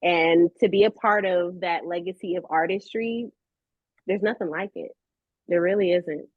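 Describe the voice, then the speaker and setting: Expressive American woman, dry dull recording. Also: lowish voice, moderate pace, dry recording. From California, USA. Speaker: voice actress, podcast guest. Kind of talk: casual interview chat.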